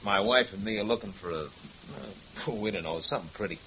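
A man's voice: fairly low pitch, fairly quick, pushed and bright, rough recording. An actor playing a breezy, slangy male shop customer in a 1950s radio drama. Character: brash male shop customer, casual, pushy. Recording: hissy old radio recording.

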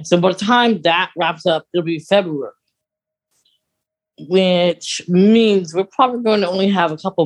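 A podcast co-host chatting casually about fan topics, thinking aloud in a hesitant, rambling way. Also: mid-range voice.

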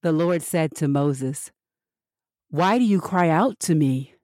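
A woman reading scripture as scripted narration for a Bible podcast, measured and expressive with the dialogue. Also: deep voice, no echo, clean studio sound.

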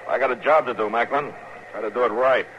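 An actor playing a stern, steady middle-aged marshal of the Old West in a 1950s radio western, American, laconic and matter-of-fact. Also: male voice, medium pitch, fast, lightly acted. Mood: calm, firm. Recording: muffled, noisy 1950s radio recording.

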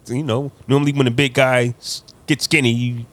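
A man's voice: medium pitch, flat delivery, fairly quick, a bit bright. A man talking casually on a podcast about music, slangy and loose, drifting into storytelling asides. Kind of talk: casual hip-hop podcast banter.

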